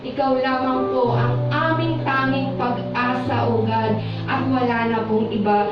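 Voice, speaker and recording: A woman's voice: high-pitched, fairly even intonation, unhurried. A Filipino woman leading a fervent, pleading church prayer in short, devout phrases, calling repeatedly on God. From Philippines. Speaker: prayer leader at a church service. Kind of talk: fervent spoken closing prayer with benediction. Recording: echoey church hall, distant muffled mic, music behind. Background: worship music.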